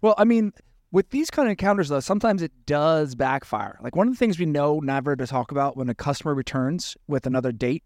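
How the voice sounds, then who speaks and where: A man's voice: fairly high pitch, animated, brisk, darkish tone, dry recording, studio quality. A man from the United States, co-hosting a casual chat about bartending, easygoing and joking. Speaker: podcast co-host, bartender.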